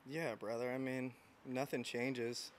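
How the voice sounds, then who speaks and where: A man in his thirties from San Diego, a podcast guest talking in a reflective, unhurried way with frequent restarts. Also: mid-range voice, little pitch movement, slight background noise. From San Diego, United States. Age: 30s. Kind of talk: casual interview chat.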